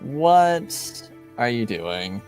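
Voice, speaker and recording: Guy doing a mild bashful escort man in a tabletop rpg, polite, hesitant, slow talker. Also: mid-range voice, very animated, darkish tone, soft background music. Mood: puzzled. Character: mild, agreeable, bashful male escort, puzzled.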